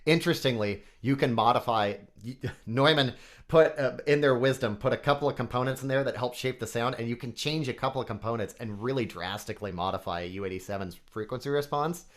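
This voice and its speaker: A man's voice: medium pitch, brisk. A man with a nasal voice, a guest on a podcast, chatting casually and technically about microphones. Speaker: guest, microphone company CEO.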